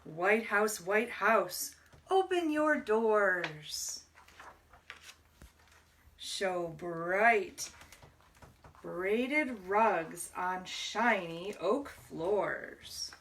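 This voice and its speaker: A woman reading a picture book aloud to young children as a library storyteller, deliberately, pausing to explain words. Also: mid-range voice, animated, fairly bright tone, recorded from a distance, slight background noise.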